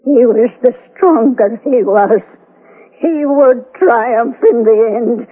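An actress playing a guarded, fanatical old mother in a radio drama, with halting, evasive speech that can turn fervent. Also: fairly high pitch, slightly rough. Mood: fanatical fervor. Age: older. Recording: tinny, phone-like old radio broadcast.

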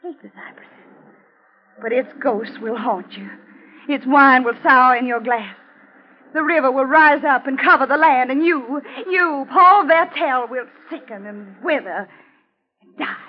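An actress playing a scheming, vengeful young wife who taunts her husband slowly and menacingly, in 1940s radio acting style. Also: sits high, slight background noise. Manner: slow, mocking, menacing delivery. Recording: muffled old radio recording.

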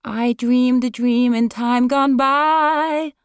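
A woman reading as an older glamorous film star in a literary story. The voice sits high and grand, with theatrical delivery, in a dry recording. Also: fairly even intonation, takes it slowly, smooth, no room sound. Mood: singing. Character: glamorous ageing actress, dramatic and grand.

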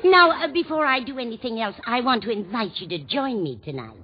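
Actress as dithering older landlady, lively old radio. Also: fairly high pitch, brisk, close to an everyday voice. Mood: fluttery, muddled. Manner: dithering, trails off mid-thought. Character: sweet absent-minded older landlady, chatty and fond. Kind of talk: 1940s radio comedy acting. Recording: dull noisy 1940s radio recording, studio audience. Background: studio audience.

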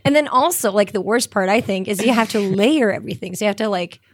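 A woman musician from Norway, a guest on a podcast, talking in an animated, casual way with many "like"s, in a small side room of a restaurant. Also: mid-range voice, quick pace, dry recording. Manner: animated, laughing, lots of "like". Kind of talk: casual interview chat.

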